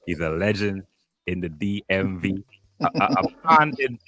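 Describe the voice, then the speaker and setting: Low-voiced Trinidadian man, very lively intonation. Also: moderate pace, no echo. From Arima, Trinidad. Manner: informal, frequent affirmations. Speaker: podcast host. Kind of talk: casual interview chat.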